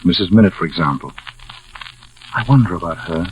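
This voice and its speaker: An actor playing a gentle older blind former pilot, measured and reflective, in a 1940s radio drama. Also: male voice, sits low, expressive, a little hiss or hum. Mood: courteous, musing. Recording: muffled old 1940s radio recording.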